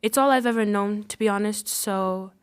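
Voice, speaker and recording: A woman's voice: medium pitch, steady tone, normal speed, clear, resonant tone. A teenage female student from the Republic of the Congo, giving casual answers as an interview guest. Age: teens.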